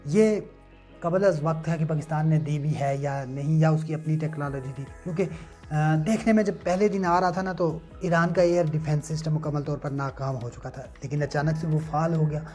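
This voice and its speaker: A man's voice: on the high side, normal speed, darkish tone. A man delivering news analysis as an online commentator, emphatic and rhetorical, moving between scripted lines and casual asides. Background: music.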